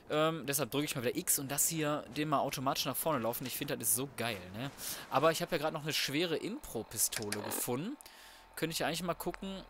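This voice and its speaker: A man's voice: a little high, a bit bright, slight background noise. A man giving casual, slangy and crude commentary on a video game, talking to himself as he plays. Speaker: let's play gamer.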